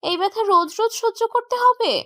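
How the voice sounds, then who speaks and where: A woman's voice: very high-pitched, a little fast, bright, forceful tone, no echo, voice pushed up. A woman playing a childish young woman in love, whining, sulky and pleading, in a romantic audio story. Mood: sulky, pouting. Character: young woman in love, childish, pouting, whiny wife or girlfriend.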